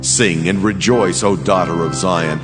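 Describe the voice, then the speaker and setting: Angel messenger for scripture drama: low male voice, grave, commanding, declamatory, prominent music underneath. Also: unhurried. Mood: commanding, proclaiming. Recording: loud music bed behind voice.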